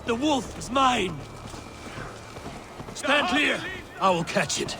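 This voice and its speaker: High, defiant Norse warrior god. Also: male voice, animated, slow pace, noisy background, exaggerated voice. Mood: urgent command. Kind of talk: cinematic video game acting. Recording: very loud battle music underneath.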